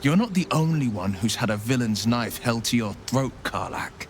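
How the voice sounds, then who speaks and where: A man's voice: medium pitch, animated, moderate pace, a little hiss or hum, lightly acted. A man playing a proud, righteous monster hunter in a video game, speaking in a formal, dramatic, declamatory manner. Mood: rueful. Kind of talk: video game character acting.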